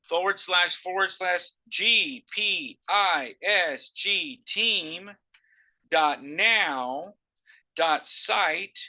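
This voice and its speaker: A man giving a loud, emphatic sales pitch on a live video call through a noise-cancelling microphone. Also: lively intonation, extremely slow.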